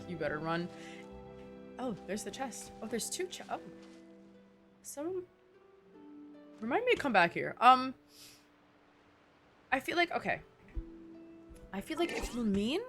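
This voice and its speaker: A young woman game streamer chats in a slangy, rambling way. Her pitch moves in lively swings as she reacts, with faint game music behind her. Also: measured pace, long pauses. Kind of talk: casual livestream commentary.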